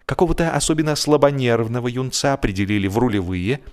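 A man narrating a humorous literary story in an expressive, theatrical way, with deliberate drawn-out pauses. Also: mid-range voice. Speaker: audiobook narrator. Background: music.

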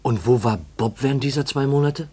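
An actor playing an earnest, anxious young heir in a 1950s German radio play, questioning directly and urgently. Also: male voice, medium pitch, brisk, dark tone, slightly rough, natural voice. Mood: intent.

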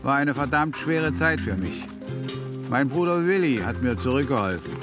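Actor as bitter frontier guy raised comanche, mid-pitched, matter-of-fact, radio western with music behind. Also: animated, moderate pace, close to an everyday voice. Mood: wounded, reflective. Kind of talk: German radio drama acting. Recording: music under the dialogue.

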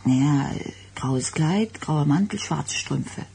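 An actress playing an anxious, self-doubting young expectant wife in a German radio drama, speaking in a halting, hesitant way. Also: female voice, low-pitched, animated, creaky, warm tone, heavily acted. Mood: uneasy, hesitant. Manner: hesitant, halting, repeating words. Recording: radio play with background noise.

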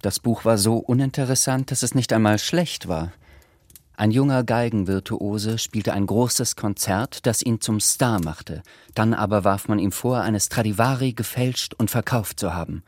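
An actor playing a dry, understated narrator who recounts events in a literary radio drama. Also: male voice, lowish voice, a little mellow, dry recording, lightly acted.

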